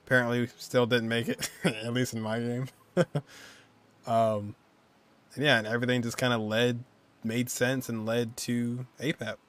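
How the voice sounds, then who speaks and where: A man's voice: mid-range voice, level tone, a little slow. A man in his twenties giving casual, musing reaction commentary as a game streamer. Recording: game music behind the voice.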